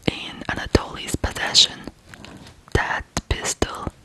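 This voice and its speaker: An ASMR host from Ukraine whispering a true crime story in a hushed, deliberate way with pauses. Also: low-quality recording.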